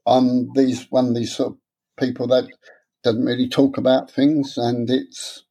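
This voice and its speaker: Man, stammer, halting, repeats words, mellow dark voice, interview. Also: mid-range voice, level tone, creaky, slightly rough, rough recording. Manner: halting, repeats words, soft-spoken, candid. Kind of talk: personal interview.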